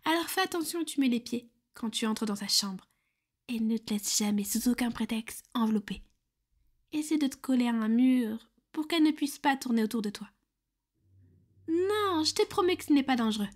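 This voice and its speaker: A woman's voice: a little high, animated, normal speed. A woman playing a brusque, bossy female Cupid in an ASMR roleplay, curt and teasingly exasperated. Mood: bossy, warning.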